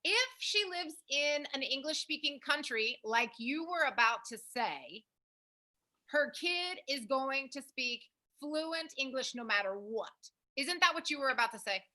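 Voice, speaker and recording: A woman's voice: high voice, expressive, unhurried, sharp tone. A young American woman co-hosting a casual advice chat, speaking emphatically and repeating her points. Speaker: host, language program founder.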